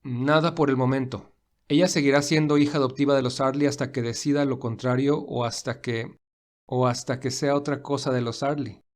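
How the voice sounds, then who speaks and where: Devoted young gentleman for audio drama, medium register, earnest, pensive, quietly resolute male performer. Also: mid-range voice, fairly even intonation, normal speed, close to an everyday voice. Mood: businesslike, earnest. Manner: earnest, reflective. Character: thoughtful, devoted wealthy young man, gently determined.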